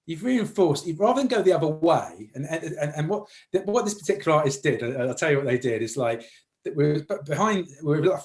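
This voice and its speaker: A man interviewing casually, rambling and repeating words as he builds his point. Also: fairly high pitch.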